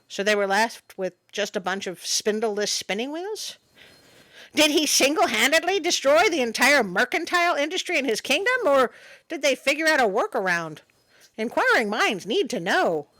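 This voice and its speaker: A woman's voice: high-pitched, big pitch swings, normal speed, bright, forceful tone, dry recording. A woman hosting a story show, reading a tale aloud with playful, wry asides.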